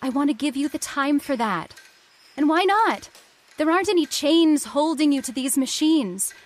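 A high, youthful female voice of an actress plays an impassioned heiress in an old-time radio horror drama. She speaks with theatrical urgency, pleading earnestly for her ideals. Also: animated, normal speed. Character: impassioned idealistic young heiress of island factory.

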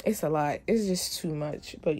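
A woman's voice: low-pitched, moderate pace, darkish tone, a little hiss or hum. A young woman vlogging casually as she cleans her room, rambling and talking straight to her viewers. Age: young adult. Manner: chatty, rambling, addresses viewers. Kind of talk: casual chat.

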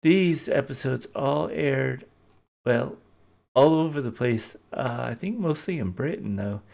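Man, show host, casual intro, mid pitch, creaky, offhand. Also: a little slow, a little mellow. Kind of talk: casual unscripted stream introduction.

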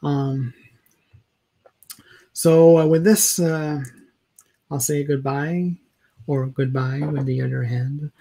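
Higher-pitched man, halting talker. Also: steady tone, mellow, rough recording. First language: French. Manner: halting, searching for words, self-correcting. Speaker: video blogger. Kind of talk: casual opinion rant to camera. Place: small indoor room, home office.

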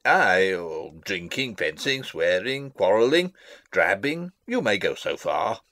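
A man's voice: fairly low pitch, big pitch swings, slow, a bit bright, dry recording, studio quality, full character voice. An actor playing a fussy, long-winded old father and royal counsellor in a theatrical Shakespeare reading, pompous and rambling. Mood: scheming, pompous. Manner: rambling, pompous, theatrical, losing his thread. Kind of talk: dramatic reading of a Shakespeare play.

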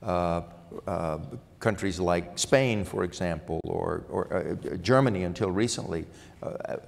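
Very deep gravelly man, panel moderator. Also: measured pace. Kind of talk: panel discussion. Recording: long hall reverb.

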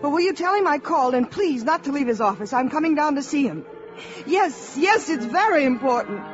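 Anxious, earnest heroine, vintage recording. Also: female voice, high-pitched, big pitch swings, brisk, faint music behind. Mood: urgent. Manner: urgent, pleading. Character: earnest, anxious, principled American woman, grown mother. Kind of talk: 1950s radio drama acting. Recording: dull vintage 1950s radio recording.

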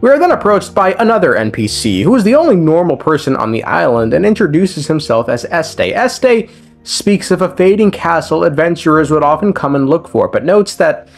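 A man's voice: on the high side, very animated, moderate pace, gravelly. A man narrating a story recap of game lore as casual commentary, irreverent and slangy, swearing freely. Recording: music under the voice. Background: background music.

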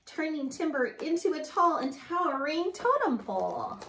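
A woman's voice: high voice, very animated, moderate pace, fairly bright tone, slight background noise. A woman in her thirties reading a picture book aloud to children, sing-song and encouraging, in a living room. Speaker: storytime reader. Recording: roomy, echoey home recording.

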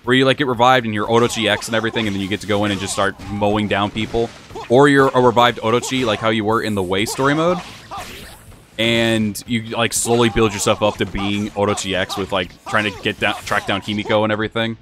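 A young man chatting through a casual let's-play, rambling with many fillers, his voice a little hoarse and wearing thin. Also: on the low side, steady tone, quick pace, over music. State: slightly hoarse, losing his voice. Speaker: gaming channel host.

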